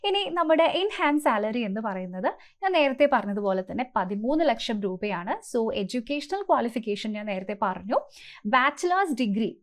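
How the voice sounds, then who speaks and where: A woman's voice: on the high side, very animated, moderate pace. A woman giving an instructive coaching presentation to exam aspirants, mixing in many English terms.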